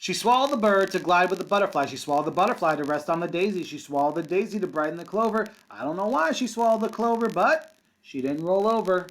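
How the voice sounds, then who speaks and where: A man reads a storybook to young children in a fairly high voice that swings widely in pitch. He sounds like a kindergarten teacher, sing-song on the rhymes and encouraging in his casual asides. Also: lively intonation, fast talker, fairly bright tone, poor audio. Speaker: kindergarten teacher reading a picture book aloud.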